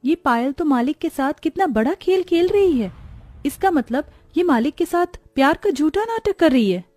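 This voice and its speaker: A woman's voice: high-pitched, expressive, moderate pace, a little mellow, no room sound, soft background music, voice pushed up. A woman voicing an anxious, loyal young maidservant in an earnest, pleading, deferential way for a moral-story cartoon. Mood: worried.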